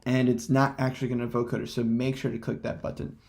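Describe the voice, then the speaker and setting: A man presenting a casual software tutorial in hesitant, halting, unscripted phrasing. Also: mid-range voice, little pitch movement.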